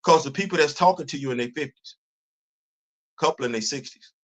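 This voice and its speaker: A man in his fifties hosting a casual livestream, talking in an animated, preachy way, often repeating words and restarting his sentences. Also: on the high side, fairly quick. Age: 50s. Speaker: host of a commentary livestream. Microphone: phone.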